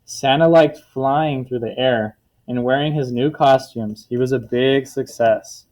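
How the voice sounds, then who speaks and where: A man with a mid-pitched voice reads a children's picture book aloud as a teacher. He explains words in simple terms and often turns to the class with questions to check that they follow. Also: measured pace, a little mellow.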